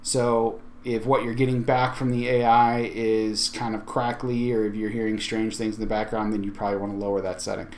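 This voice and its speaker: A man giving an informal, conversational software walkthrough as a tech video host, thinking aloud as he goes. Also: fairly low pitch, flat delivery, brisk, hardly any pauses. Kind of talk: casual software tutorial walkthrough.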